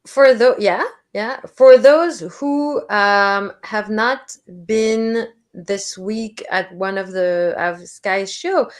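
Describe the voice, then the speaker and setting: A woman chatting casually on her livestream, taking her time over each phrase. She sounds animated and breaks into laughter easily. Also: medium pitch, slow pace, hardly any pauses. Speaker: livestream host.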